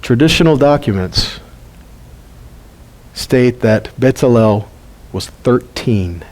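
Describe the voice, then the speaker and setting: A man's voice: medium pitch, expressive, slow, darkish tone, rough recording. A middle-aged man teaches scripture in a folksy way, asking his listeners questions and chuckling often. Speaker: Bible teacher. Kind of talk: casual Bible teaching.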